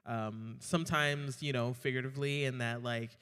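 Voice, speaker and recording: A thoughtful man drag performer speaks on a panel, taking his time. His voice is smooth and resonant. The sound carries a long hall reverb. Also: mid-range voice, steady tone, normal speed, fairly bright tone. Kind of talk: panel discussion. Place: university event hall.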